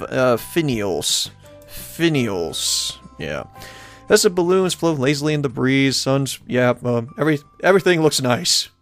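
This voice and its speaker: Playful man reading a game over music. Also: medium pitch. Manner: playful, wry asides. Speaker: let's player narrator. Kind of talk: reading visual novel aloud with casual commentary.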